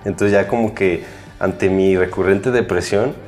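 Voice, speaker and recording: Slightly hoarse Mexican man, low-pitched, bantering. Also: fairly even intonation, a little slow, a little mellow, soft background music. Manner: teasing, interjecting. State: slightly hoarse and congested from a cold. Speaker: podcast co-host. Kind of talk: casual, bantering podcast chat.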